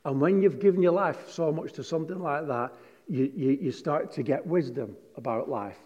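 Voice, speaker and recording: A man's voice: a little high, moderate pace, dark tone, highs cut off. A man preaching a sermon. He tells chatty, joking stories and reads scripture with emphasis. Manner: chatty, jokey storytelling with emphatic scripture reading. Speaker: pastor. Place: large hall with a congregation. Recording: long hall reverb.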